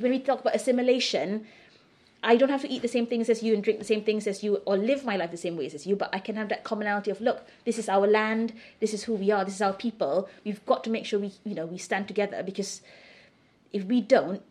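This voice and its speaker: A woman's voice: medium pitch, fast talker, darkish tone. A middle-aged woman from Singapore answering interview questions in a candid, emphatic, conversational way. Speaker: interview guest.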